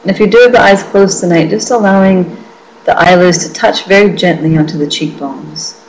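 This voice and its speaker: A woman leading a guided meditation as a teacher, speaking in a gentle, reassuring way with lingering phrases. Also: lowish voice, moderate pace, a little hiss or hum, rough recording.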